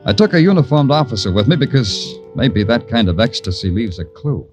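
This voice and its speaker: An actor playing a wry, weary hard-boiled American police detective who narrates a vintage radio crime drama in a deadpan, sardonic way. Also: male voice, medium pitch, brisk, lightly acted. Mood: wry narration. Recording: vintage radio recording, music underneath.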